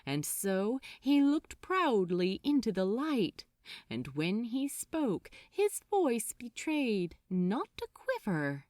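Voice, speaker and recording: Female audiobook narrator, higher voice, hugely varied intonation, dramatic deliberate delivery. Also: sing-song, a little mellow, no room sound, studio quality. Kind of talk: dramatic scripted story narration.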